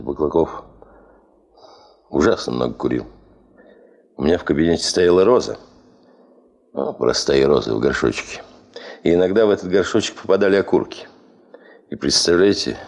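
An actor playing an authoritative, measured expedition chief with deliberate official phrasing, in a 1970s radio drama. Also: male voice, extremely low pitch, animated, gravelly, darkish tone, full character voice. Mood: confiding.

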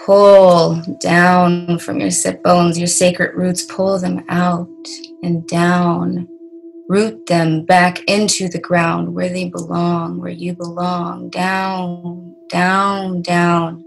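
A woman leading a guided meditation in a soothing, deliberate way, leaving long pauses between short phrases. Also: lowish voice, level tone, gravelly, poor audio.

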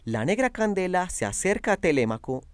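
A man's voice: high-pitched, sing-song, brisk, clear, resonant tone, no echo. A man narrating a radio drama in a scripted, dramatic storytelling style.

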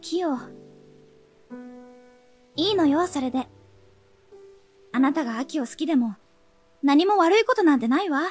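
A high, gentle young woman's voice, firm and protective as a confidante. It is radio drama acting with faint music behind. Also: expressive, a little slow, long pauses. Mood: tender insistence.

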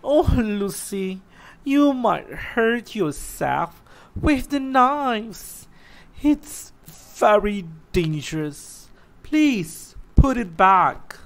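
A gentle, worried mother who warns her little girl again and again in a soft, sing-song voice, as a woman puts her on. She speaks very slowly, with a lively, rising and falling tune, like a parent in a children's storybook. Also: medium pitch, pitched above the performer's own voice, full character voice.

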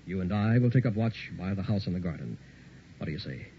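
A man's voice: low-pitched, expressive, fast talker. A man playing a determined count, master of a castle, speaking in clipped phrases in an old radio horror play. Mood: resolute. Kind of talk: 1960s old-time radio horror acting. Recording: muffled old radio recording, background noise.

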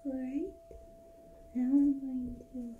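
A young woman performs a soft ASMR role play as an energy healer, slipping between a high, gentle voice and breathy whispers. She guides the listener extremely slowly and soothingly, very close to a binaural microphone. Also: little pitch movement, phone-quality sound, a little hiss or hum. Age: young adult. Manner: slow, soothing, hushed guiding. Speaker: ASMR performer playing a Reiki practitioner.

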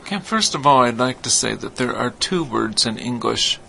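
A man with a gravelly, bright edge to his voice explains ideas patiently, with a few fillers. His voice is crisp and forward, and a faint noise sits behind it. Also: mid-range voice, animated, normal speed. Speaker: guest, English speaker. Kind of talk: casual explanatory conversation.

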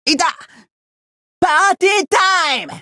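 A woman voicing a cocky, bratty young demon who boasts pompously in rough slang, in a Japanese game character style. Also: very high voice, expressive, a little slow, pushed and bright, no echo, studio quality, full character voice. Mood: cocky, irritated.

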